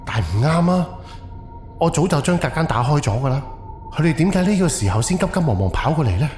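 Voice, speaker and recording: A man's voice: fairly high pitch, lively intonation, fast, a little mellow, close to an everyday voice. A man playing a wary, analytical haunted-house investigator thinking aloud in an audio drama, with a musing, questioning delivery. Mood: tense, questioning. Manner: musing, questioning, muttered self-talk. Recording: echoey reverb, music underneath. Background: background music.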